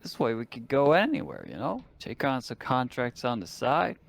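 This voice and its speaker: A man's voice: mid-range voice, big pitch swings, moderate pace, gravelly. A man playing a tabletop roleplaying game, talking casually and arguing his case with plenty of swearing. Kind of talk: casual in-character table talk.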